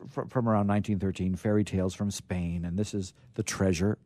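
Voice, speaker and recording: A man's voice: sits low, steady tone, fairly quick, dark tone, no echo. A man hosting a radio show, chatting casually and reading old stories aloud.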